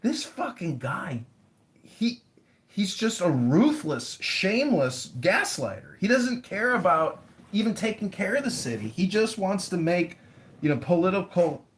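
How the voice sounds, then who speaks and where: A man's voice: sits high, sing-song, a little slow. A man running for office, talking casually in an interview, blunt and rambling, with the odd swear word. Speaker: mayoral candidate.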